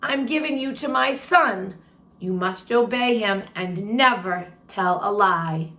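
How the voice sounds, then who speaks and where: A woman reading the part of an ailing, commanding male slave master in a children's storybook, in a measured, instructing manner. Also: medium pitch, sharp tone. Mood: solemn, commanding. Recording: roomy, echoing room sound.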